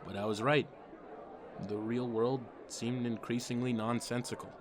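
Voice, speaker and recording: A man's voice: mid-range voice, level tone, normal speed, a crowd in the background, noisy background, lightly acted. A man playing an earnest, wry teenage comic fan turned amateur superhero, narrating a comic dub in a confessional way. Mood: wry, reflective. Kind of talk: comic dub, first-person narration and dialogue.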